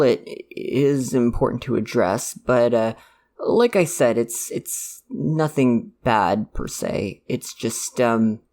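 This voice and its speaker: A performer plays a caring dad with a mid-pitched, gravelly voice that often breaks into fry. He talks in a halting, stammering way, nervous and jokey yet devoted to his kid. It is a one-sided conversational ASMR roleplay. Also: heavily acted. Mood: nervous, awkward.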